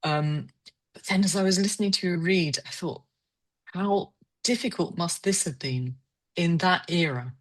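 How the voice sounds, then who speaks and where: A woman's voice: lowish voice, a little slow. A woman hosting a literary conversation, asking reflective questions in a tentative, searching way. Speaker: interviewer hosting a poetry salon.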